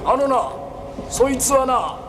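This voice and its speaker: Pushy gullible villager, very high pitch, rough rustic speech, echoey room, clear background noise. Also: male voice, lively intonation, takes it slowly, exaggerated voice. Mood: eager haggling. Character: brash, gullible, haggling village man. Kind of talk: theatrical stage acting. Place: large exhibition pavilion, live stage performance. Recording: noisy, echoey live stage recording.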